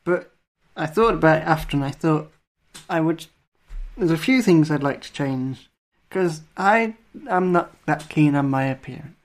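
A man's voice: on the high side, normal speed. A young man with a nasal voice, chatting casually as a podcast co-host, self-deprecating and chuckling often. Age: young adult. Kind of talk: casual chat.

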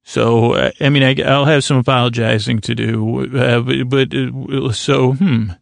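A man with a creaky voice hosting a bedtime podcast in a rambling, halting, stuttering, self-deprecating monologue. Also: mid-range voice, flat delivery, no room sound, clean studio sound. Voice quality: creaky, gravelly. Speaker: podcast host.

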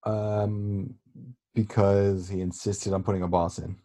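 A man's voice: on the low side, little pitch movement, measured pace, warm tone. A man answering quiz questions in casual chat, hesitant and thinking aloud with fillers. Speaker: quiz contestant.

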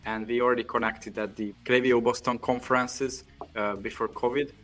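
A man's voice: mid-range voice, level tone, moderate pace, fairly bright tone, faint music behind. Man hosting a podcast, filler-heavy. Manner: many "uh" fillers, loose phrasing. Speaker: podcast host. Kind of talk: casual podcast interview.

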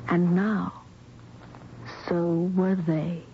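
An actress playing an anxious, compassionate young heroine, earnest and dramatic, in an old radio drama. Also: female voice, lowish voice, level tone, very slow, slight background noise. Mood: somber, reflective. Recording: dull old radio recording, music behind.

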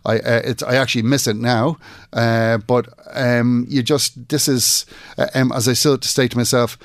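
Halting man in his forties. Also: mid-range voice, level tone, dry recording, clean studio sound. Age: 40s. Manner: halting, many restarts and fillers. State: emotional, voice breaking. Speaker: guest. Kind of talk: radio interview.

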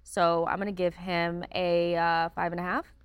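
Lowish-voiced woman giving candid sports analysis. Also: flat delivery, moderate pace, clean tone. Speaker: sports show panelist and analyst. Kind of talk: casual sports commentary.

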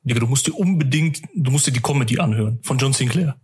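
A man's voice: medium pitch, quick pace, a little mellow, slightly rough. A man hosting a podcast in an informal, colloquial chat with a jokey manner. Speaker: podcast host. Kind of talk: casual chat.

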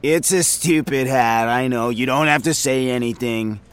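A man's voice: mid-range voice, normal speed, clear, resonant tone, pitched above the performer's own voice, close to an everyday voice. A man voicing a chatty, oblivious male office coworker who rambles on with chuckles. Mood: rambling. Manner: rambling, chuckling, talks over others.

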